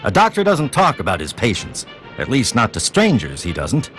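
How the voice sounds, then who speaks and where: Music plays behind a gruff video game doctor in a wasteland town. He is curt, grumbling and blunt, and his pitch swings widely as he talks. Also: huge pitch swings, moderate pace, a bit bright. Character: gruff, grudging town doctor in a post-apocalyptic settlement. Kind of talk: video game character dialogue.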